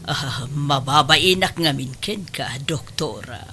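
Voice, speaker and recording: A haughty middle-aged mother in an Ilocano radio drama, acted by a woman. Her voice is sharp and very expressive. She exclaims, stalls and draws out her words when flustered. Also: extremely low pitch, slow, a little hiss or hum, exaggerated voice. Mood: ashamed.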